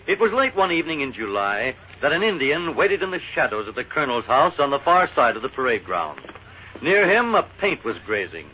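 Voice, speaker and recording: A man's voice: medium pitch, sing-song, a little fast. An American man narrating a 1940s radio drama as its announcer, in a theatrical, declamatory manner. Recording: tinny, noisy 1940s radio broadcast.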